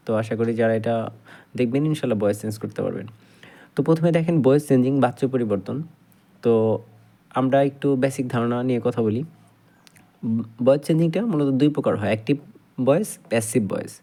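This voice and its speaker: A man's voice: medium pitch, warm tone. A man with a Bangladeshi accent giving an informal grammar lesson, explaining step by step and often repeating and rephrasing his points. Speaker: English grammar teacher.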